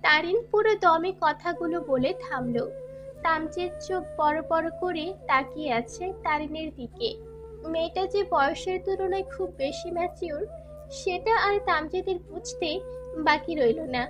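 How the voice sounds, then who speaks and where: Very high-pitched woman narrating a story. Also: moderate pace. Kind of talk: scripted dramatic narration. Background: background music.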